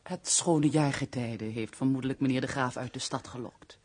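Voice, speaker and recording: An actress playing an earnest, refined young castle housekeeper in a radio drama, breathy, gentle and formal. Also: female voice, extremely low pitch, normal speed.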